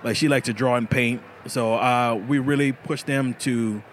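A man's voice: mid-range voice, fairly even intonation, normal speed, a little hiss or hum. A man who makes knives, talking casually as an interview guest and laughing often. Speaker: knife maker guest.

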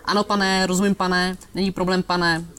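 A man's voice: high voice, little pitch movement, a bit bright. A man voicing a meek, endlessly polite young male waiter who tacks "sir" onto every phrase, in a raised voice. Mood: eager.

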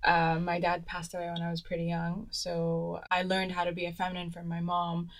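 Low, flat-voiced woman sharing candidly. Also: moderate pace. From Kuwait. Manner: candid, personal storytelling. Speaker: panel guest. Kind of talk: casual discussion.

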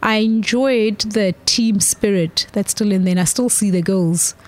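A woman's voice: mid-range voice, a little slow. A young South African woman chatting loosely in an interview, full of "like" and "um". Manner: loose, hesitant, frequent "like" and "um". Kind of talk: casual interview.

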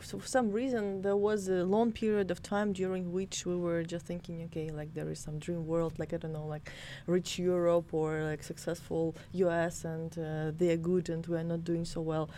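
A woman from Ukraine talks in a low, mellow voice, pausing often with fillers and restarts. Faint noise sits behind her in the studio. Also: little pitch movement. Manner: hesitant, many fillers and restarts. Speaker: tech promotion representative. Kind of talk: studio discussion.

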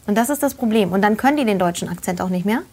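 A woman in her forties, an actress and voice actor, talking as a guest in a casual chat, animated and quick to laugh. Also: mid-range voice. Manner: animated, laughs easily, talkative.

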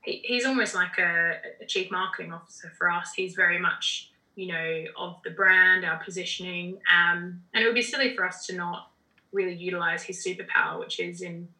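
Bright-voiced Australian woman, chatty. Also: lowish voice, steady tone, normal speed, reverberant, low-quality recording. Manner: chatty, lots of fillers and restarts. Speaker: podcast guest, general manager. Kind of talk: casual interview talk.

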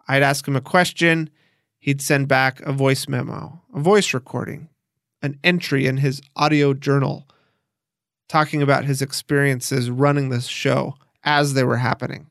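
A man's voice: medium pitch, gravelly, fairly bright tone, dry recording, studio quality. An American man hosting an audio documentary, narrating conversationally and talking directly to the listener. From United States. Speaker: podcast host.

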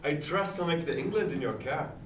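An actor playing a deferential merchant sailor in an eighteenth-century seafaring radio drama. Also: male voice, on the high side, animated, quick pace, far from the mic, natural voice. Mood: concerned, polite. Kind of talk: serial radio drama acting, period seafaring. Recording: echoey, off-mic, noisy ship ambience behind.

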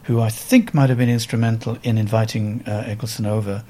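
A man around seventy with a Welsh accent, a photographer telling stories in an interview in a reflective, halting way with many pauses and fillers. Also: on the low side, flat delivery, dark tone. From Wales. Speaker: photographer guest.

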